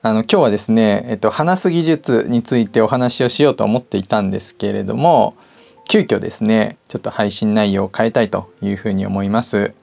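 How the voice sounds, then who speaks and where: Man with a creaky voice hosting casually. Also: lowish voice, fairly quick, a little mellow, soft background music. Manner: polite, conversational. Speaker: podcast host. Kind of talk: casual solo talk.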